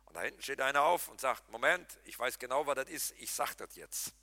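A man preaching with a bright, forceful voice that swings widely in pitch as he addresses the congregation. He jokes with them and challenges them directly. The sound is dry and close, with no room echo. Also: a little fast. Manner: animated, talks to the congregation directly, jokes and laughs. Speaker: pastor. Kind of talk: lively Pentecostal sermon.

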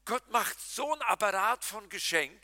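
A man preaching with a bright, forceful voice that swings widely in pitch as he addresses the congregation. He jokes with them and challenges them directly. The sound is dry and close, with no room echo. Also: unhurried. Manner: animated, talks to the congregation directly, jokes and laughs. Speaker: pastor. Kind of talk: lively Pentecostal sermon.

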